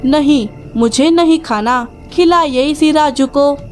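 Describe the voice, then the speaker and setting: A woman's voice: high voice, slow, a little mellow. A woman voicing a lazy, sulky young son bird in a children's cartoon, in a whiny, childish way.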